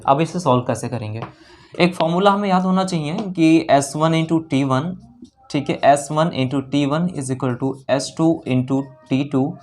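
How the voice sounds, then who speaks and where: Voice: mid-range voice, darkish tone. A maths teacher working through exam problems step by step, asking questions and answering them, repeating key figures and mixing in English terms.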